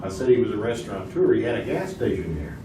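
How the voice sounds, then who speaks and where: Joking quiz host in an echoey, noisy hall. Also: male voice, on the low side, sing-song, fast, distant mic. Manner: joking, bantering with contestants. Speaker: quiz show host asking questions. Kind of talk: live comedic game show hosting.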